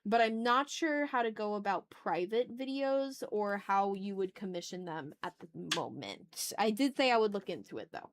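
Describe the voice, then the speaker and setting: A woman's voice: medium pitch, lively intonation, moderate pace, hardly any pauses. A woman chatting casually on an art livestream, thinking aloud with self-deprecating remarks and some swearing. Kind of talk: casual livestream chat while drawing.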